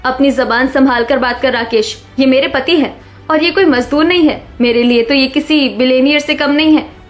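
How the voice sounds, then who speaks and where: A woman's voice: high-pitched, normal speed, soft background music, close to an everyday voice. A woman playing a devoted but suspicious young village wife in a cartoon, earnest and questioning. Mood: indignant.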